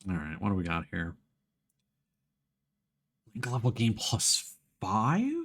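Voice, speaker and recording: A man with a fairly low voice streams a game with casual commentary. His pitch swings around a lot as he talks. He thinks aloud, often halting as he works through what he sees. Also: big pitch swings, creaky, a bit bright. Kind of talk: casual live gameplay commentary.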